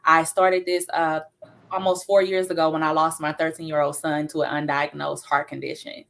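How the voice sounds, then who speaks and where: A woman's voice: deep voice, flat delivery, moderate pace, creaky, fairly bright tone. A woman speaking as a guest on a talk show, introducing herself in a businesslike way with frequent fillers.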